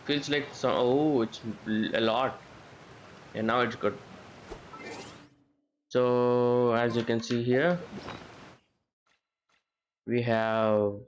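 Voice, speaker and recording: A man's voice: medium pitch, slight background noise, rough recording. A man giving casual live gameplay commentary as a streamer, thinking aloud in a rambling way and repeating phrases. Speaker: game streamer. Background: game music and character voices.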